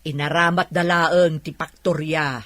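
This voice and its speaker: A woman playing a grumbling, dismissive village sceptic with a Filipino accent and a husky voice, in a radio drama. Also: low voice, fairly even intonation, measured pace, fairly bright tone. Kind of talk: Ilocano radio drama acting.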